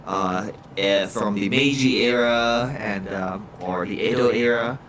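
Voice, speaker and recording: A man's voice: fairly low pitch, unhurried, a bit bright. A man co-hosting a livestream in casual chat, stumbling and repeating words as he goes. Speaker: livestream co-host. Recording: faint background noise.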